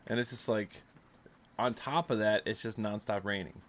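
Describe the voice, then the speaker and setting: A man's voice: lowish voice, steady tone, moderate pace, fairly bright tone. A man hosting a casual chat show, stuffed up from allergies and talking loosely with lots of fillers. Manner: loose, filler-heavy talk. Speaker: podcast host. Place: home room with loud rain outside. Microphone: desktop microphone.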